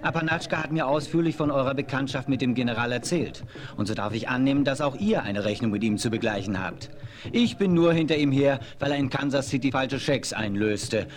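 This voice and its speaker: A man's voice: mid-range voice, lively intonation, brisk, natural voice. A man as a courteous, doubting lawman. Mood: grateful. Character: polite police officer, grateful and skeptical. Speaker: police officer. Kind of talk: 1970s radio play acting.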